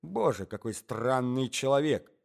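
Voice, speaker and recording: A man voicing a fussy, indignant old husband in a story, shouting, repeating himself and sputtering. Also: mid-range voice, animated, measured pace, creaky.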